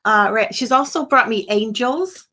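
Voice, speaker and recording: A woman's voice: fairly high pitch, moderate pace, pushed and bright. A British woman hosting a live phone-in as a psychic medium, chatting casually through a reading and often checking that the caller understands.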